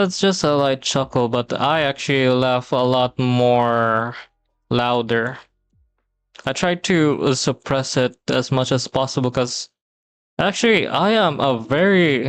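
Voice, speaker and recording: A young man from the Philippines chatting casually on a drawing stream, relaxed and rambling with many pauses and fillers. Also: mid-range voice, smooth, dry recording. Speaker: streamer and teacher. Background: soft background music.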